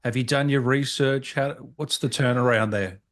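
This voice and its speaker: A man's voice: medium pitch, normal speed, fairly bright tone. Man hosting a casual gaming interview. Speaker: interviewer, gaming show host.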